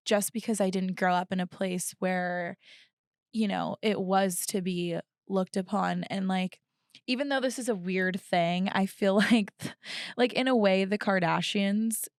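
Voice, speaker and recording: A woman's voice: mid-range voice, unhurried, dry recording, clean studio sound. A young woman co-hosting a podcast, speaking reflectively in a casual chat and often saying "like". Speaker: podcast co-host.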